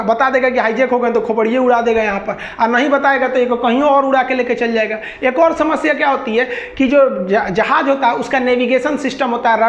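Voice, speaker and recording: A man with a Bihari accent giving an informal lecture as a teacher, colloquial, asking questions and answering them himself. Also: very high voice. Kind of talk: informal classroom lecture explaining with everyday examples. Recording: echoey room, dull muffled sound.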